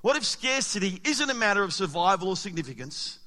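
High, bright-voiced preacher in a reverberant hall. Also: male voice, a little fast. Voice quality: slightly raspy. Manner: animated, emphatic preaching with rhetorical questions. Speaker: pastor. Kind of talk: sermon. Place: church hall.

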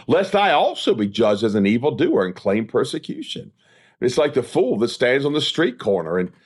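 A man's voice: on the high side, husky. A man preaching an expository sermon as an evangelist, emphatic, with repeated rhetorical phrases. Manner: emphatic, repetitive rhetorical cadence. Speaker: evangelist preacher, podcast host.

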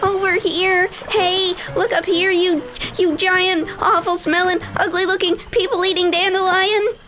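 A woman's voice: extremely high pitch, slow pace, bright, forceful tone, faint music behind, voice pushed up, exaggerated voice. A woman voicing a nervous, stammering boy pirate in a children's story, earnest about seeming fierce. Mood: straining to threaten. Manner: stammering, faltering over words.

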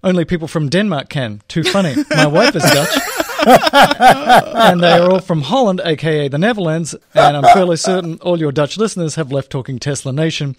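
A man's voice: a little high, lively intonation, fairly quick. A man co-hosting a podcast in casual chat, reading listener mail aloud and laughing often. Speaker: podcast co-host.

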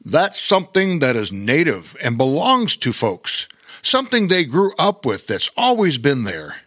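Pete the Pirate is a kind, cheerful storybook pirate who explains things patiently, voiced by a man. The tone is bright, lively and slow. It is recorded dry and close. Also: fairly high pitch, no echo, pitched above the performer's own voice, heavily acted. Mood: gentle. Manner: gentle explaining tone.

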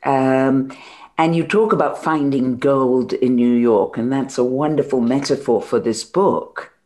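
A woman's voice: rumbling low, unhurried, darkish tone. A woman interviewer speaking in a conversational way with her guest.

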